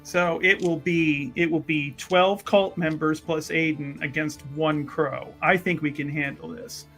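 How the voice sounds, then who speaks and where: A man's voice: a little high, fairly even intonation, unhurried, fairly bright tone, soft background music. A man chatting casually as a player in a tabletop roleplaying game.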